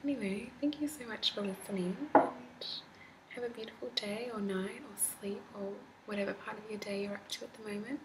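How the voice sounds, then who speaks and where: A young woman in her twenties whispers a rambling, chatty ASMR talk, laughing now and then. Her whisper is slightly deep and gravelly. The laptop recording is grainy, with a clear hiss behind her voice. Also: fairly even intonation, a little fast. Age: 20s. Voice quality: slightly deep whisper, not soft-spoken. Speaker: ASMR creator. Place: candlelit room at home. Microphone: laptop mic.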